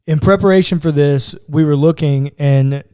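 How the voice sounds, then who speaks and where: A man's voice: a little high, moderate pace, mellow, clear, resonant tone, no room sound, studio quality. A man co-hosting a podcast as a pastor, chatting casually about the Bible with informal, slangy asides. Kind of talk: casual conversational Bible discussion.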